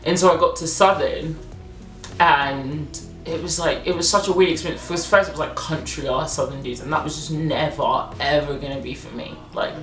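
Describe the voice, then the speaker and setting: A woman's voice: low voice, fairly even intonation, normal speed, fairly bright tone, soft background music. A young British woman in her twenties from England, chatting casually and animatedly with lots of fillers. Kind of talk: casual chat. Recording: roomy echo.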